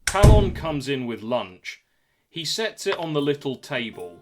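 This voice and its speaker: A man's voice: a little high, sing-song, a little slow, over music. A man narrating a scripted audio drama in the present tense, as a storyteller.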